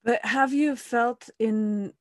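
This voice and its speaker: A woman's voice: a little high, fairly even intonation, takes it slowly, dry recording. Woman host with a dry, close sound. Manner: engaged, probing follow-up questions. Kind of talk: interview conversation.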